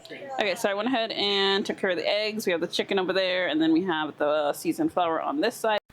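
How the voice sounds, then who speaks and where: A woman's voice: fairly low pitch, a little hiss or hum. A young woman from California chatting casually with many ums while she cooks in her home kitchen. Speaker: homestead vlogger. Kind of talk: casual cooking vlog chat.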